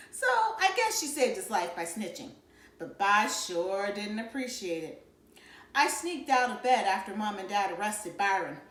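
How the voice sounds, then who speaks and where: A woman reading a children's novel aloud in expressive storybook narration. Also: a little high, reverberant. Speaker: read-aloud reader.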